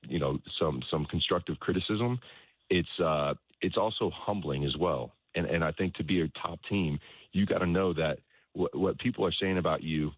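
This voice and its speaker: A man speaking as a soccer coach in an interview, explaining things in a halting way, repeating words and often checking in with the listener. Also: low voice, husky. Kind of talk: conversational sports interview, explaining his coaching philosophy. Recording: roomy echo, dull lo-fi sound.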